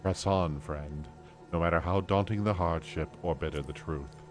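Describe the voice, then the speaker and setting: A man voicing a stoic, solemn fantasy game warrior in a grave, measured, formal manner. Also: low voice, soft background music, lightly acted.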